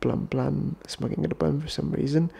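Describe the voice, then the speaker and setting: A man's voice: a little high, fairly even intonation, fast, gravelly, mellow, breathy edge. A young man hosting a podcast in a laid-back, casual chat, switching between Indonesian and English with a British-leaning accent and sometimes dropping to a near-whisper. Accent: Indonesian-tinged British English. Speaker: podcast host. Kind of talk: casual chat answering fan questions.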